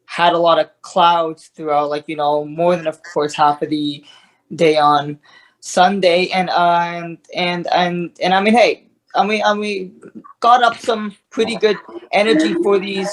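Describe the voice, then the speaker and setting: A man chatting casually about weather as a guest, halting and often repeating his phrases. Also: sits high, poor audio.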